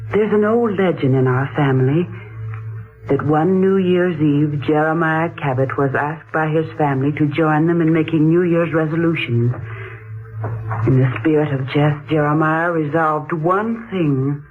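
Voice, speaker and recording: A tinny 1940s radio recording with music behind carries an earnest young woman. She speaks very slowly and with hushed awe, in halting, dramatic radio-play style. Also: sits low. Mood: awed, dawning realisation. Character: earnest, awed young woman, the patriarch's descendant.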